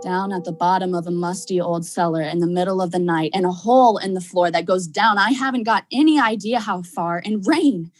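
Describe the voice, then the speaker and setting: A woman's voice: on the low side, fairly quick, hardly any pauses, soft background music, close to an everyday voice. A young woman acting a tough, dismissive male crook in vintage radio drama style, with folksy slangy delivery. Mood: wry narrating. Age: young adult. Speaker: student actor.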